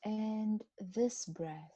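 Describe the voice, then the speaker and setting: A woman guiding a body scan meditation in a gentle, unhurried manner with long pauses between phrases. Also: medium pitch, dry recording. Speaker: meditation guide.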